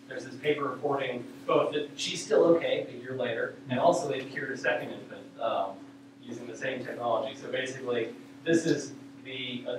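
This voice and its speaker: A young man giving an informal academic presentation in a halting way, with frequent ums, speaking in a lecture hall. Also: medium pitch. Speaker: presenter of a research news roundup. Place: university lecture hall, indoors. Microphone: far camera microphone. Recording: echoey room, distant mic, clear background noise.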